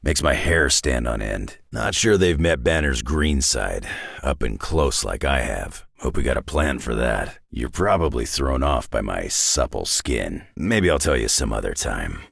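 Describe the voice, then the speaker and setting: A man voicing a gruff, cynical, battle-worn mutant brawler in a video game, with a gravelly, husky growl and a terse, dry tough-guy drawl. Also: rumbling low, very animated, unhurried, a bit bright, no echo, heavily acted. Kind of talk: video game character acting.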